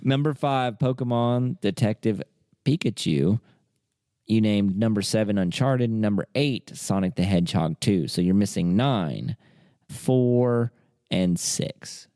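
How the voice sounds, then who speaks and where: A man's voice: on the low side, measured pace, dark tone, no echo, clean studio sound. A man hosting a podcast, bantering casually in an informal, teasing, sweary way. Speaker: podcast host quizzing a co-host.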